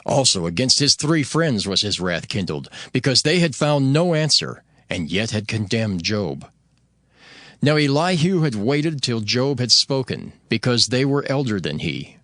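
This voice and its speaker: A male narrator reads scripture with solemn formality. His voice is bright and forceful, moving expressively in pitch, and the recording is dry and close. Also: big pitch swings, a little slow, no echo.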